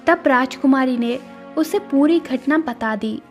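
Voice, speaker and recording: A woman's voice: high voice, unhurried. A woman giving scripted narration as the storyteller of a cartoon moral story. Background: faint music.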